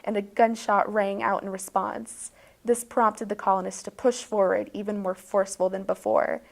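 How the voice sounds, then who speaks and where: A woman's voice: mid-range voice, flat delivery, moderate pace, gravelly. A woman hosting a video, narrating a tongue-in-cheek bedtime story in a mock-serious, dramatic way.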